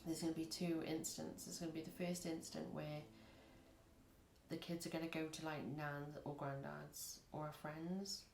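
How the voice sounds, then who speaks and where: Woman, husky, low pitched, chatty tarot reading, echoey room. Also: flat delivery, normal speed, a little mellow, a little hiss or hum. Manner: rambling, chatty, acts out little scenes. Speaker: tarot reader. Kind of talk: casual tarot reading to camera.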